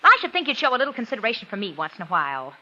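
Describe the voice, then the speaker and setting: A naggy fretful wife in an old 40s radio show, high swoopy voice, muffled sound. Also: female voice, sing-song, racing, sharp tone, heavily acted. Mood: annoyed. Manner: scolding, fretful. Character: nagging, fussy, exasperated wife. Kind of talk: 1940s radio drama acting. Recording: dull old radio recording.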